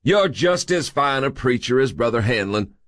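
A man's voice: mid-range voice, expressive, normal speed, a bit bright, no room sound, studio quality, voice pushed up, natural voice. A man voicing a short, fat, self-important male busybody who talks in a pompous, huffy way. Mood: fawning.

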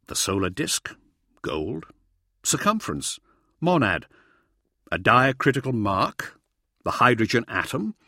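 A man voicing a matter-of-fact, quietly authoritative male expedition leader in an audiobook, measured, firm and a little impatient. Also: medium pitch, sing-song, no echo, clean studio sound, pitched above the performer's own voice, full character voice. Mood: quiet, dry. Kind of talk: audiobook character dialogue.

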